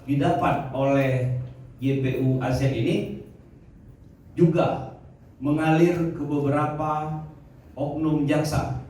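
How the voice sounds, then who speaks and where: Male official, formal statement, measured short phrases, mellow tone, heavily reverberant room. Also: medium pitch, a little hiss or hum. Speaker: prosecution official briefing the press. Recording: very echoey room, distant microphone.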